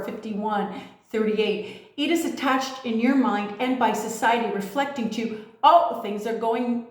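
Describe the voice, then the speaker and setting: A woman giving an impassioned, profane monologue as a spiritual coach, firing off rhetorical questions and swearing freely. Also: mid-range voice. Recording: very echoey room.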